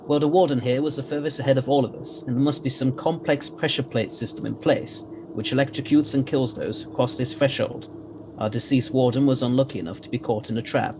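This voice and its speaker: An actor playing a clever, assured scientist adventurer in an audio drama, explaining briskly with old-fashioned turns of phrase. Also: male voice, medium pitch, noisy background, natural voice. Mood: curious, assured.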